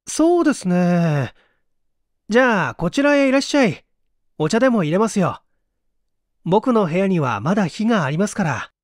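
A man voicing an eager, eccentric young mystery fanatic in polite, excitable audiobook dialogue. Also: high voice, moderate pace, long pauses, no echo, studio quality, voice pushed up. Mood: friendly, inviting. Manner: polite, excitable, animated speech.

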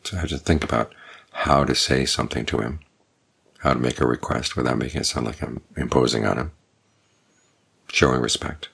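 An older man, a Buddhist monk, giving a calm, measured evening Dhamma talk with unhurried pauses. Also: extremely low pitch, gravelly, husky. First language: English.

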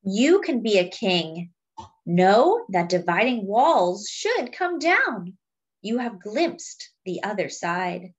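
A woman reading a picture book aloud to young children in a deliberate, teacherly way, adding simple explanations as she goes. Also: mid-range voice, big pitch swings, breathy edge. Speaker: children's read-aloud storyteller.

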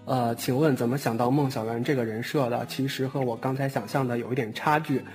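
A man's voice: medium pitch, steady tone, a little fast, a little mellow. A man in a casual online cast chat, talking hesitantly and repeating his phrases. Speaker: voice actor at a cast chat, later reading questions. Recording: music behind the voice.

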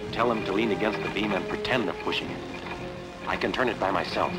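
A man plays a selfless strongman hero in chains, calm and steady. His voice is low, with loud film music behind it. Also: fast, close to an everyday voice, poor audio. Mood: calm, kind. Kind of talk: dubbed sword-and-sandal film acting.

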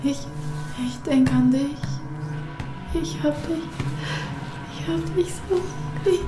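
An actress playing an anxious young daughter in an audio drama, halting and repeating herself as she pleads. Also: sits high. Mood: anxious, tender. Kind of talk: German audio drama dialogue. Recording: roomy echo, music behind.

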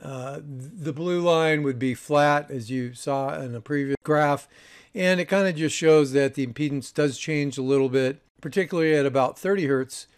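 A man's voice: on the high side, moderate pace. A man giving a casual, unscripted review voice-over, explaining things in a matter-of-fact, informal way. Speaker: audio review host.

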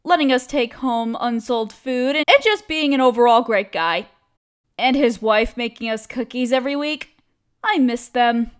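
A cute young female barista played by a woman with a high, girlish voice. She chats expressively in a casual audio roleplay, a friendly coworker who teases and complains playfully. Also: normal speed, clean tone, natural voice. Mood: nostalgic. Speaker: voice actress. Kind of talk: scripted one-sided audio roleplay, casual chat.

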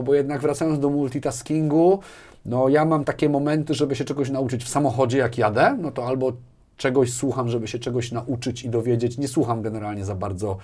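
A middle-aged man talking casually as an interview guest, often repeating words as he thinks, on a lapel mic in a small studio. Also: medium pitch. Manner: animated hand gestures, repeats words as he thinks. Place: small furnished indoor studio.

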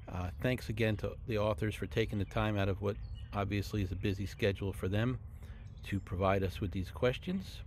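A man's voice: fairly low pitch, a little hiss or hum. A middle-aged man hosting informally for a library, speaking to camera outdoors. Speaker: library host. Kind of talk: informal closing announcement to camera. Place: outdoors among trees.

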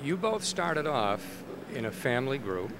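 An older man hosting a gospel music show, asking questions in a halting way with many fillers, on a handheld mic at a convention. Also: medium pitch, big pitch swings. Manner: hesitant, with repeated filler words. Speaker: host. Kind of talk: interviewer. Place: convention hall interview booth. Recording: noisy convention hall.

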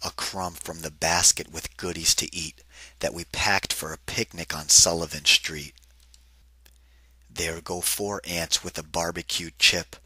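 A man giving an interactive children's read-aloud in a deliberate, singsong rhythm, like a teacher with a picture book. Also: low-pitched, pushed and bright, husky, dry recording.

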